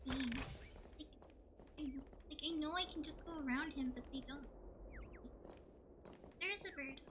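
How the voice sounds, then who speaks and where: A woman's voice: high-pitched, normal speed, heavy background noise. A giggly, rambling woman chatting casually as she livestreams a video game. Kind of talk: casual gameplay chat and commentary. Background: game music.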